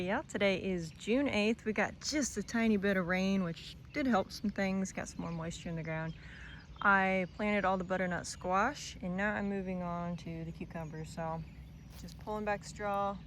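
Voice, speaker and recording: A young woman with a drawl talks through her farm chores in a plain, chatty way, full of little fillers. Her voice is slightly worn from a morning of talking. She records outdoors on a handheld phone, with a faint wash of outdoor noise behind her. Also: lowish voice. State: voice strained from talking. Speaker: farm vlogger. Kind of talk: casual farm diary vlog. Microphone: phone held while walking.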